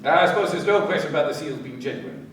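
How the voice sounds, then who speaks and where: A man playing a methodical, cautious police inspector in a radio play, deliberate and given to thoughtful hums and pauses. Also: fairly high pitch, expressive, lightly acted. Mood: pensive, probing. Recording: very echoey, distant, with background noise.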